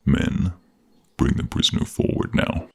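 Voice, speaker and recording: A man voicing a cold, cruel, imperious king in a fantasy audio role-play, with a deep, breathy, gravelly voice and a commanding, threatening delivery. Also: a little slow, darkish tone, close to an everyday voice. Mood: commanding threat.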